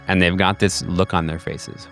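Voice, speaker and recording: Male game master, low voice, rambling delivery, faint music bed. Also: flat delivery, normal speed, fairly bright tone. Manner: rambling, lots of fillers and restarts. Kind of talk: narrating a tabletop game.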